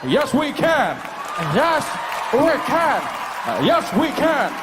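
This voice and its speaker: A man putting on the voice of an excited chanting man, on a loud live stage. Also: very high voice, takes it slowly, voice pushed up, heavily acted. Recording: noisy live venue with crowd.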